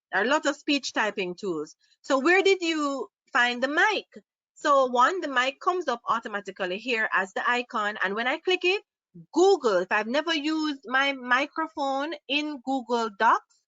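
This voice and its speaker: A woman with a Jamaican accent leads a live online tutorial, upbeat and full of questions for her viewers. Her voice is bright and on the high side. It comes through a laptop's built-in mic, close and a little muffled. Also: no room sound. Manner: upbeat, asks the audience questions. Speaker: online workshop presenter. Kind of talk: live screen-share tutorial.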